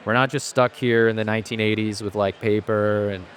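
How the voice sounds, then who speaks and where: Low-voiced man talking at length with many restarts. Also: steady tone, fairly quick, a little hiss or hum. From Asia. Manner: thoughtful and talkative, with frequent restarts. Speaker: podcast guest, company founder. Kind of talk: casual interview conversation.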